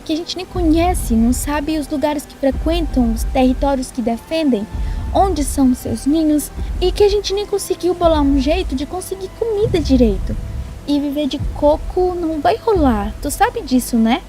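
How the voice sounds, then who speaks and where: Actress as young female survivor, high-pitched, soft dark tone, cautious, insistent, audio drama. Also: expressive, moderate pace, rough recording. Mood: insistent, arguing. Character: cautious, argumentative young woman stranded among dinosaurs.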